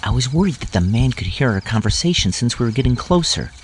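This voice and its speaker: A man's voice: medium pitch, big pitch swings, fast, a little hiss or hum, close to an everyday voice. A man playing a grown-up narrator who recalls a scary boyhood adventure in earnest, dramatic first-person storytelling. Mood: reflective, suspenseful.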